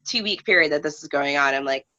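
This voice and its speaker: A woman telling a personal story in casual conversation, speaking in a halting, reflective way with trailing phrases. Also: deep voice, bright, forceful tone. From United States, lives in New England. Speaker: guest storyteller. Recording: dull, roomy lo-fi recording.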